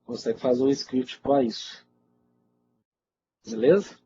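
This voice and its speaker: Casting: Brazilian male IT instructor, congested, casual Q&A delivery, medium pitch, muffled noisy webinar audio. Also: a little slow. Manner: informal, conversational, frequently checks in with listeners. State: congested with a cold. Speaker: IT instructor answering webinar questions. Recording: dull lo-fi webinar audio, loud noise.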